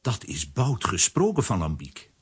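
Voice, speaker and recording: A man narrating a children's adventure audio drama in a theatrical storytelling manner, with a husky, rough voice. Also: low-pitched, huge pitch swings, a little slow, full character voice.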